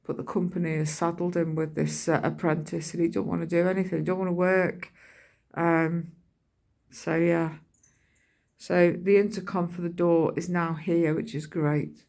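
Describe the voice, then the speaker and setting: A woman's voice: lowish voice, level tone, moderate pace, vocal fry, darkish tone. A middle-aged British woman chatting casually in a rambling vlog, telling everyday stories in colloquial language and acting out what people said. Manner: chatty, colloquial storytelling with acted-out quotes. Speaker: vlogger.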